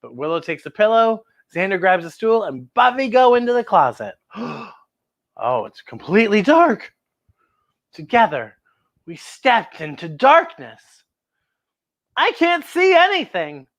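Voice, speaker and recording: A woman's voice: mid-range voice, big pitch swings, measured pace. A woman hosting children's story time, reading a picture book aloud and exclaiming directly to young viewers.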